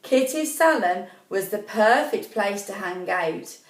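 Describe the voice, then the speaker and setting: A woman reading a children's story aloud expressively and deliberately, as a school storyteller. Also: mid-range voice, lively intonation. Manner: deliberate, prompts young listeners with questions. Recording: echoey room, distant microphone.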